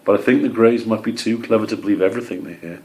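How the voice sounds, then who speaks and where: A man's voice: on the low side, fast, darkish tone, lightly acted. Earnest young storybook squirrel, modest and clever, natural everyday delivery by a male reader. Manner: earnest, encouraging.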